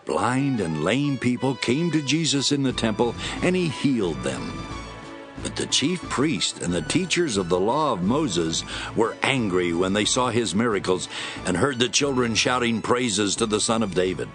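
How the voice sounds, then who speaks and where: Male narrator, fairly low voice, lively varied intonation, scripted Bible storytelling, soft music bed. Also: a little slow.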